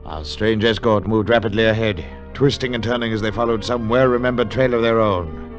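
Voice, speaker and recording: An actor playing a composed, resolute explorer who narrates a 1940s radio adventure in a measured dramatic way. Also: male voice, lowish voice, music in the background, natural voice. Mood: grave narrating. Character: composed, resolute male expedition leader recounting the adventure. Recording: dull old 1940s radio recording.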